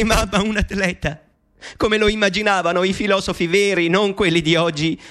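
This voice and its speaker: A man's voice: a little high, natural voice. An actor playing a middle-aged man speaking an intimate, wistful monologue to his mother in a radio play. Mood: bitter.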